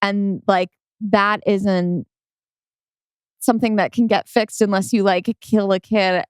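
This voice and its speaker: A woman's voice: medium pitch, steady tone, slow, clean tone, dry recording, clean studio sound. A woman on a podcast panel giving casual critique and feedback.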